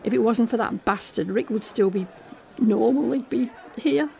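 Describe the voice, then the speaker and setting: Audio drama mum: woman performer as middle-aged, grieving, resolute and bitter, low-lit mellow tone, deliberate emphasis. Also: vocal fry, slight background noise. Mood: bitter, upset. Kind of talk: quoted dialogue in an audio drama monologue.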